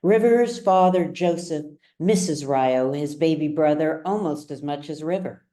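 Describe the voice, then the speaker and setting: Low-voiced woman narrating a story, unhurried. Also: warm tone. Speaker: author reading their own novel. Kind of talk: scripted audiobook narration.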